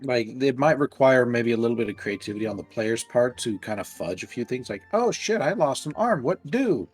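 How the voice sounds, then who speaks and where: A man's voice: mid-range voice, lively intonation, brisk, breathy edge, faint music behind. A man running a tabletop game, talking things over casually with his players in an easygoing, reassuring way. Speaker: game master.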